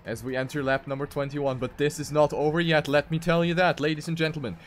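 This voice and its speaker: A man's voice: fairly high pitch, level tone, quick pace, faint music behind. A man giving live commentary on a video game race.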